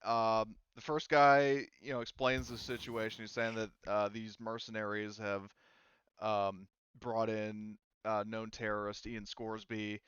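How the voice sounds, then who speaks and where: A man running a tabletop roleplaying game as game master, narrating casually off the cuff in a halting, rambling way. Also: fairly low pitch, level tone, a bit bright, clear, resonant tone, no room sound, highs cut off. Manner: halting, rambling delivery heavy with hedges.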